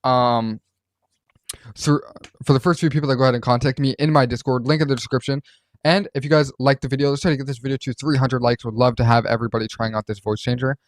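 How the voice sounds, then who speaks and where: A man presents a software walkthrough with a mid-pitched voice. He talks informally and rambles a little as he explains. The recording is dry, with no room sound around him. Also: fairly even intonation, fast, no echo. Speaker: host reviewing voice changer software.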